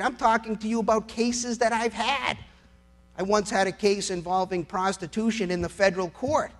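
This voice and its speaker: A man's voice: high-pitched, a bit bright. A man speaking as a lawyer giving a persuasive public talk, emphatic and incredulous, full of rhetorical questions. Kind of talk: persuasive political speech on law, with anecdotes and rhetorical questions.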